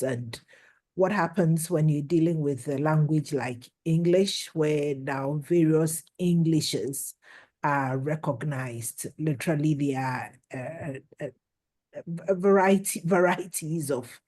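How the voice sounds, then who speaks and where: A woman asking a polite, measured question over a video call in a webinar. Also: low voice, mellow.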